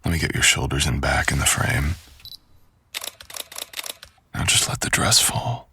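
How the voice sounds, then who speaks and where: Man voicing a very deep, gravelly seductive stalker. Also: animated, pushed and bright, a little hiss or hum, heavily acted. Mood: sultry, commanding. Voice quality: gravelly, breathy.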